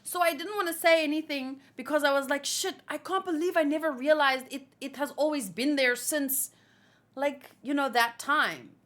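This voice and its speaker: A woman hosting a casual read-along, reading comic dialogue aloud and chatting as an animated fan. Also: sits high, normal speed. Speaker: host reacting to a manga chapter read-along.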